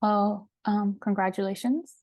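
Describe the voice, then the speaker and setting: A woman's voice: mid-range voice, steady tone, measured pace, no echo, highs cut off. A woman hosting a formal interview, polite and a little hesitant with fillers.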